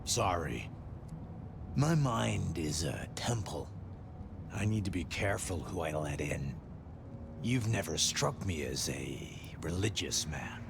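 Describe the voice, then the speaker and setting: An actor playing a troubled, gentle street mystic in a video game, musing philosophically and often dropping into whispery murmurs. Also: male voice, low voice, very animated, takes it slowly, full character voice. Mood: guarded, serene. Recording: clear background noise.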